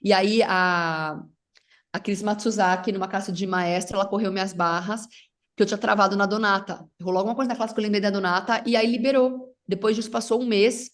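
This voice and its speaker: A middle-aged woman whose first language is English chats as a facilitator guest. Her voice sits at an ordinary middle pitch, and she rambles, restarting sentences and dropping in English words. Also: fairly even intonation, brisk. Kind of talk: casual conversation.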